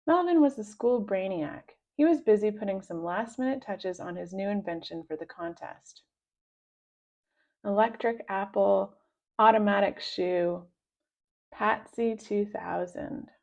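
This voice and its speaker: A woman reading a children's story aloud in an expressive storytelling style. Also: mid-range voice, measured pace, gravelly. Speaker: storybook reader.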